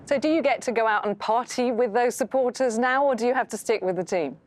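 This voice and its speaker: Woman presenting the news. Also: a little high. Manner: brisk conversational questioning. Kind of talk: studio news interview.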